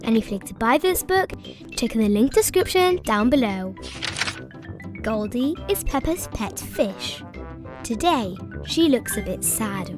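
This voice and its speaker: A woman reads a children's story aloud in a high, very expressive voice. She takes her time, and music plays clearly behind her. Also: measured pace. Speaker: storybook narrator. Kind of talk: children's read-aloud narration.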